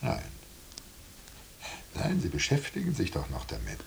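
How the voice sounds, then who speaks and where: An actor playing a familiar, probing hospital doctor in a radio play, questioning a patient in a professional yet jocular manner. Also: male voice, rumbling low, wildly swooping pitch, measured pace, creaky, slight background noise, heavily acted. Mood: attentive, probing.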